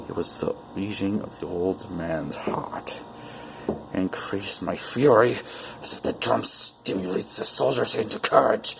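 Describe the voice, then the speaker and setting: A man performing a dramatic reading as an obsessive, nervous murderer in a gothic horror story, theatrical and intense. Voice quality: low, creaky. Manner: theatrical, breathy whispers swelling into agitated outbursts. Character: unhinged obsessive murderer confessing, nervous, furious, gloating. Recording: noisy amateur home recording.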